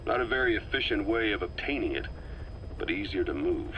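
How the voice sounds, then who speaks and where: Man playing a low, terse military commander. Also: lively intonation, muffled recording, a little hiss or hum, natural voice. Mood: brooding. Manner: terse, deliberate, pondering. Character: terse, brooding middle-aged male military commander. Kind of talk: scripted game voice acting.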